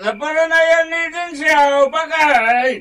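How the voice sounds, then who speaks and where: An actor with an Ilocano accent playing a drunken, sluggish village husband in a comedic radio drama. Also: male voice, extremely high pitch, a little slow, background noise. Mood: slurring, sulky.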